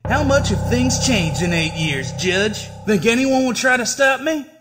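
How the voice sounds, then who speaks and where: A man's voice: very high voice, lively intonation, a little slow. A man acting a bitter, unhinged, vengeful figure who rants sarcastically in a comic-book audio drama. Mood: bitter, manic. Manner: ranting. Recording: music behind the voice.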